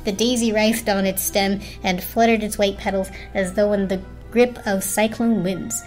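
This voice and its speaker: A woman's voice: mid-range voice, fairly even intonation, normal speed, darkish tone, faint music behind. A woman reading a storybook aloud as a dramatic narrator.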